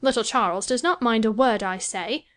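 High-voiced young wife, self-pitying and self-important, forever complaining, period novel dramatisation female actor performing. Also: expressive, normal speed, a bit bright. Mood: aggrieved, complaining. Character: self-pitying, querulous young married gentlewoman, fancying herself ill. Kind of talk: dramatised classic novel reading.

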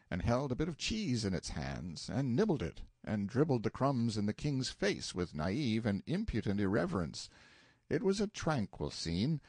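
A man reading a classic novel as an audiobook narrator, in an expressive, scripted literary style. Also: lowish voice, no room sound, clean studio sound.

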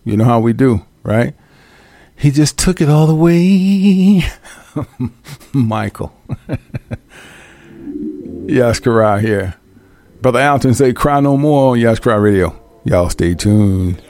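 Gravelly, laid-back radio host. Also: male voice, mid-range voice, animated, takes it slowly, pauses often, darkish tone, no room sound. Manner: loose and playful, mimics drum sounds, swears, laughs often. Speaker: radio host and music producer. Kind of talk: casual talk about making a song.

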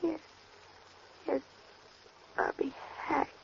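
An actress playing a gravely injured young woman, weak and halting with pain, in a 1940s radio drama. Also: high-pitched, little pitch movement, heavily acted. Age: young adult. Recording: muffled old radio recording with hiss.

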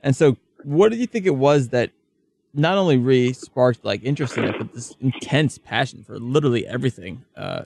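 A man with a creaky, middle-pitched voice interviews a guest in a loose, chatty style. The sound is dry, with little room around it. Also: animated, a little fast, a little mellow, dry recording. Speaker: interviewer, podcast host. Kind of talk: casual conversational questioning.

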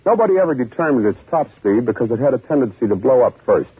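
A man giving a scripted introduction as host of a 1950s radio show, with a husky voice. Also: medium pitch, very animated, quick pace. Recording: muffled narrow-band 1950s radio recording.

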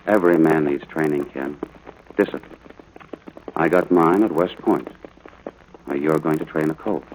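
An actor playing a stern, gruff but fair ranch father in a 1940s radio drama, firm and clipped. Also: extremely low pitch, normal speed, a little mellow. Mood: stern, earnest. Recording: noisy vintage radio broadcast.

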